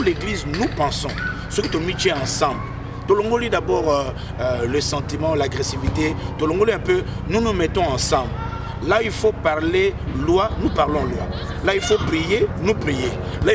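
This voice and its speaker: A man with a Congolese French accent, a pastor speaking earnestly in an interview and repeating phrases for emphasis. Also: on the high side. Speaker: pastor, president of a pastors' association. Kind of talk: interview answers, preaching tone. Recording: distant mic with loud traffic noise outdoors.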